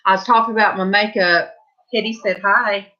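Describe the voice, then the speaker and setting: A woman's voice: medium pitch, fairly even intonation, moderate pace, bright, forceful tone, highs cut off. A middle-aged woman with a Southern US accent, chatting casually at home and rambling to her viewers while she paints. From Southern California. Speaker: vlogger. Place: home, indoors.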